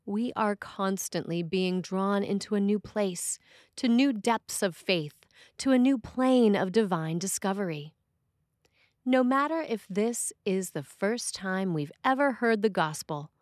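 A woman priest reading a scripted sermon in a measured, expressive way. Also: medium pitch, no echo, studio quality.

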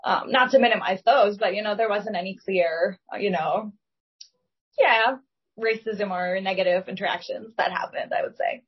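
A woman's voice: medium pitch, moderate pace, slightly rough, muffled recording. A woman from Lebanon who speaks English as a second language, talking thoughtfully and carefully in a reflective, conversational interview. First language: not English (English is second language). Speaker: guest.

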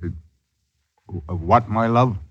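Casting: a henpecked colonial husband, low, slow and grudging, dull vintage radio sound. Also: male voice, breathy edge, heavily acted. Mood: evasive, uneasy. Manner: hesitant, muttering, archaic phrasing. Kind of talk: old-time radio drama acting.

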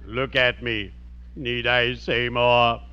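An actor playing a friendly, morbidly genteel undertaker in old radio comedy, speaking formally and solemnly with drawn-out words and macabre puns. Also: male voice, mid-range voice, flat delivery, pushed and bright, clear, resonant tone. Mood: mock-dignified. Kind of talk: 1940s radio comedy acting. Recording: dull, noisy old radio recording.

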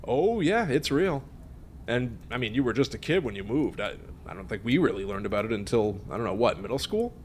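A man's voice: mid-range voice, expressive, brisk, slight background noise, lightly acted. A man playing a smug, teasing friend who spins spooky tales in an audio drama. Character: smug, teasing male friend telling a gory local legend. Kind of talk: naturalistic audio drama acting.

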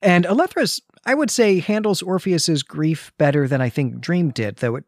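A man's voice: on the high side, normal speed, no echo, studio quality. A man co-hosting a literary podcast, explaining and analysing in a conversational way, often checking his point as he goes. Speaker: podcast co-host. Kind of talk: conversational literary discussion of a comic.

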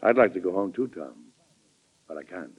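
Earnest American president, animated, muffled old radio. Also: male voice, low-pitched, moderate pace, slightly rough, heavily acted. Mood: firm, persuasive. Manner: earnest, authoritative, hesitant at times. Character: weary, firm, fatherly American president urging unity. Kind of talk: old-time American radio drama acting. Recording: muffled vintage radio drama recording.

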